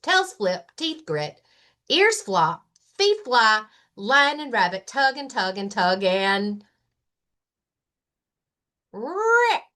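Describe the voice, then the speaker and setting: A woman librarian reading a children's story aloud, expressive and deliberate, with emphatic phrasing and playful sound words. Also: medium pitch.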